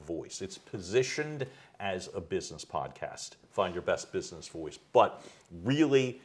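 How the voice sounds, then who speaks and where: A middle-aged man from the United States, a voice coach being interviewed at home, who talks loudly and animatedly and laughs easily. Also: fairly low pitch. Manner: loud, animated storyteller with quick laughs. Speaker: guest, executive voice coach. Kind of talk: conversational interview. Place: his home.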